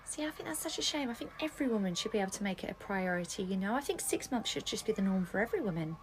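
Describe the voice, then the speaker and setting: A woman's voice: medium pitch, sing-song, very fast, slight background noise, pitched below the performer's own voice, natural voice. A woman playing a smug, self-satisfied young mother who talks in a condescending, preachy way.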